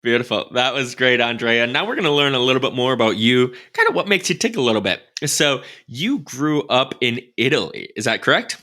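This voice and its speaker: A man's voice: medium pitch, big pitch swings, a little fast, hardly any pauses, pushed and bright. A man in his forties co-hosting a podcast interview over a video call, with an upbeat, encouraging manner. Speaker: podcast co-host. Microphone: headset on video call.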